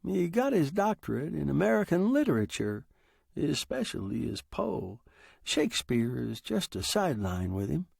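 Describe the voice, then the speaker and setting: A man's voice: a little high, sing-song, moderate pace, creaky, a little mellow, husky, dry recording, studio quality, full character voice. A man voicing a fat old male pawnbroker in a story, tired, furtive and resigned. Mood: forlorn, explaining.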